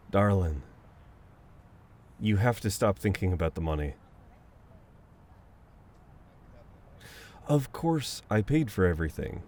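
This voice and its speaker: A man's voice: on the low side, animated, unhurried, slight background noise. A man playing a caring, teasing, dominant best friend in an intimate audio roleplay, talking casually and conversationally. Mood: playful, relaxed. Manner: casual, conversational, with soft chuckles and hesitations.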